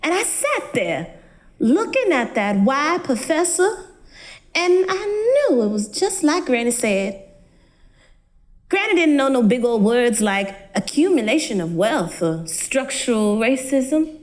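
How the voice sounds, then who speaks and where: Woman acting a high-voiced, slow college girl. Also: sing-song. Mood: reflective realization. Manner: folksy, earnest, pausing storyteller. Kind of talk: dramatic monologue on stage.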